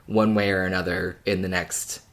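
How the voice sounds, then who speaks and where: A podcast co-host talking casually and in a measured way, open to other views. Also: deep voice, flat delivery. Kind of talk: casual discussion chat.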